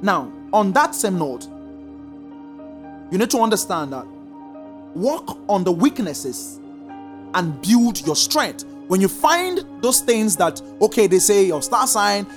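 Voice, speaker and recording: A preacher teaching a congregation, stressing points in slow drawn-out repeated commands. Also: medium pitch, faint music behind. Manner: lively sing-song emphasis, slow drawn-out repeated commands. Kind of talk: sermon teaching.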